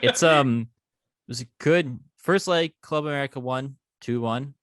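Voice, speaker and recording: A man's voice: medium pitch, normal speed, a bit bright, dry recording. Easygoing male podcast co-host, dry sound. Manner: easygoing, teasing, dry asides. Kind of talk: casual sports chat.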